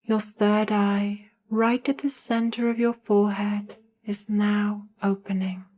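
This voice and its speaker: A woman narrating a guided meditation in a soothing, hushed manner, leaving long pauses between short phrases. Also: mid-range voice, fairly even intonation.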